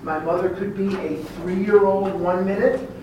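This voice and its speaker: A man with a Chicago American accent giving a personal testimony speech, emphatic and deliberate, repeating phrases for effect. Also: high-pitched, fairly even intonation, mellow. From Chicago, USA. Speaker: guest speaker at recovery meeting. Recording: very echoey room, distant mic, clear background noise.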